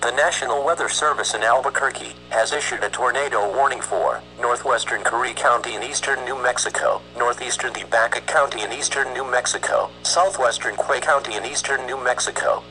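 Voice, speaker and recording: A man's voice: medium pitch, a little fast, hardly any pauses. A man reading a scripted emergency warning in a formal, clipped announcer's manner. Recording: noisy, humming weather radio sound.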